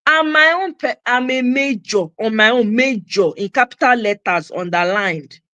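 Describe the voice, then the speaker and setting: A woman's voice: a little high, normal speed, pushed and bright, no room sound. Emphatic Nigerian woman, dry close sound. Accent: Nigerian, with Pidgin phrases. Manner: emphatic, repeats her points for stress. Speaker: host of a commentary channel. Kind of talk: impassioned opinion monologue.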